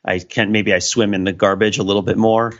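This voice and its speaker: A middle-aged man hosting a casual podcast, enthusiastic and wordy in a playfully formal way, with a somewhat hoarse voice. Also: fairly low pitch, level tone, a little fast. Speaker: podcast host. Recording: dull, lo-fi audio.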